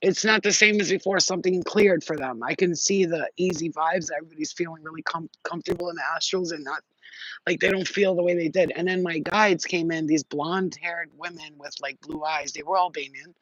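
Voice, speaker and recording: A man giving a casual spiritual reading on a live stream, telling rambling, excitable stories with plenty of swearing. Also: fairly high pitch, highs cut off. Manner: rambling, profane, excitable storytelling. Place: outdoors near trees.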